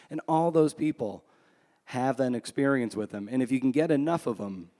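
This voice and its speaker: A man's voice: medium pitch, warm tone. Man, echoey hall reverb, conversational, self-deprecating author, rambling answers, live event interview. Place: large room at a live book event.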